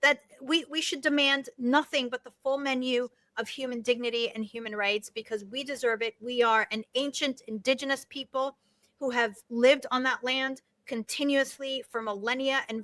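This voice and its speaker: Forceful high-voiced woman guest. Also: no room sound. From Palestine. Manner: forceful, emphatic, repeats words for emphasis. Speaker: guest, novelist and activist. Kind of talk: impassioned interview talk.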